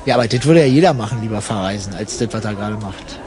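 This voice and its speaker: A man playing a bored, contrary travel companion, deadpan and colloquial, in a conversational radio play. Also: fairly low pitch, fast talker, lightly acted. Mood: sardonic.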